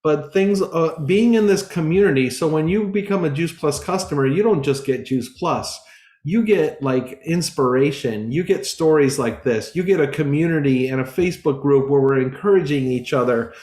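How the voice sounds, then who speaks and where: A man's voice: a little high, moderate pace, a little mellow. Earnest man in his forties, echoey room. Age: 40s. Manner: earnest and informal, with many fillers and restarts. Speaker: business coach. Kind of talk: casual sales presentation.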